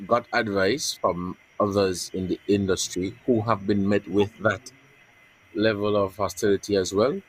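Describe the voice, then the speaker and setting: A man speaks as a journalist guest, his voice sitting very low with a husky, breathy grain. He answers in a measured way, circling back over words before he lands on them. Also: poor audio. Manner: measured, repeats words while searching for them. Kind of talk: earnest interview answers.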